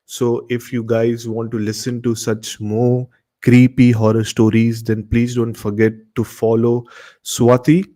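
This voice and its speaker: A man's voice: medium pitch, monotone, unhurried, mellow. A man with an Indian accent casually hosting a horror story show, speaking to listeners with repetitive, emphatic phrasing.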